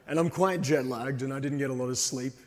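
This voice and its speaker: A man giving a conference talk with slides, slightly hoarse and hesitant, with frequent ums. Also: medium pitch, steady tone, fairly quick. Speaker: conference speaker. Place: conference hall. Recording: long hall reverb.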